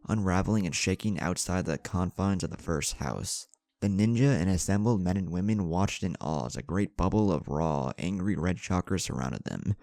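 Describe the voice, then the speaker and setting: A man's voice: low-pitched, gravelly, a little mellow, no echo. A man reads a dramatic scripted story as its narrator, with music behind him. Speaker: fan fiction narrator.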